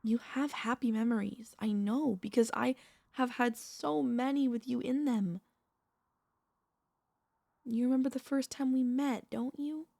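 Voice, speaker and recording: A woman playing a tender, anxious, possessive vampire mistress in an intimate ASMR audio roleplay, speaking in soft, formal, pleading phrases with dramatic pauses. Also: a little high, creaky, dry recording, studio quality. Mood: soothing.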